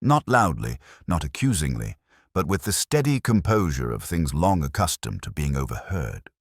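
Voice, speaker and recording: A man playing a reflective, melancholy police inspector, narrating a mystery audio drama in a measured, literary way. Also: extremely low pitch, very animated, breathy edge, dry recording, studio quality, heavily acted. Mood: pensive, watchful.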